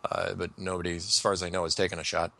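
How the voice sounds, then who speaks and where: A man's voice: deep voice, little pitch movement, quick pace, creaky, bright, forceful tone, no echo. A man hosting a casual talk show, rambling through ideas with frequent restarts and fillers. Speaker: podcast host. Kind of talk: casual call-in chat.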